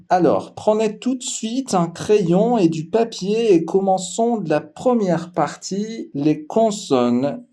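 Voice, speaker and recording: A man walks learners through a language lesson slowly and methodically, pausing with small hesitations. His voice sits a little high but sounds mellow and warm. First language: Persian. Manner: slow, careful, explanatory delivery with hesitation fillers. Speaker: language teacher.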